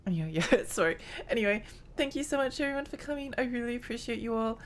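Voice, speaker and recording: A woman's voice: on the high side, animated, fast talker, a little hiss or hum. A woman streaming a game live, chatting in a rambling, giggly way while her voice strains and tires. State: strained, tiring voice.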